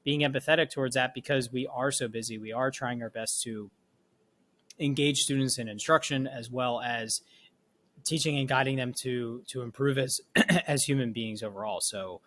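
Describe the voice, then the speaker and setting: A man's voice: medium pitch, fairly even intonation, moderate pace, a bit bright. A male podcast host reflects on the conversation in an earnest, thoughtful way, stumbling a little as he builds his point. His voice sits in a middle range for a man. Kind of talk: conversational interview discussion.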